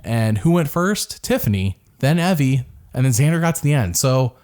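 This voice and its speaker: A man's voice: mid-range voice, sing-song, moderate pace, a little mellow. Man, podcast co-host, mid-range voice, casual rambling recap, chatty. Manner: rambling, with restarts and frequent laughs. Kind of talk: casual reality-TV recap chat.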